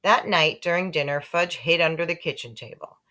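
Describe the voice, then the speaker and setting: A woman reading a children's book aloud in an expressive storytelling style. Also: sits low, moderate pace. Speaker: story reader.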